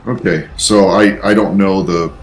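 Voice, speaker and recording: Low-voiced man playing a tabletop game. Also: steady tone, a little slow, slight background noise. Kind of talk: casual game chat.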